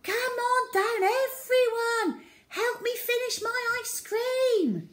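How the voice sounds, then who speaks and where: A woman voicing an excited little storybook girl, calling out in a high, loud, ringing voice. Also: takes it slowly, reverberant, full character voice. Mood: excited, shouting.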